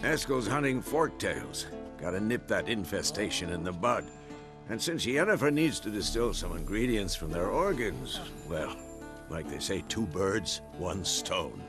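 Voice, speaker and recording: Animated, grumbling old warrior mentor. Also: male voice, low voice, music in the background, exaggerated voice. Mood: resigned. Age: older. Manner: measured, wry, grumbling, pausing for effect. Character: weary, wry old male warrior mentor, mildly grumbling. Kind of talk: video game acting.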